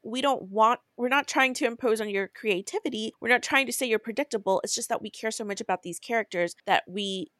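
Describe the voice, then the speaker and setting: A woman's voice: fairly high pitch, a little fast, few pauses, fairly bright tone, no room sound, studio quality. A woman co-hosting a podcast, chatting casually and explaining her points earnestly at length. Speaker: podcast co-host. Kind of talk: casual chat about books.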